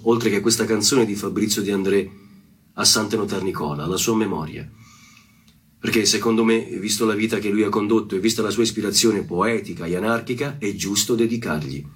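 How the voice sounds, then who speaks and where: A man's voice: on the low side, fairly even intonation, brisk, slightly rough. A man giving an earnest, solemn spoken dedication as a musician introducing a song. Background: plucked guitar music.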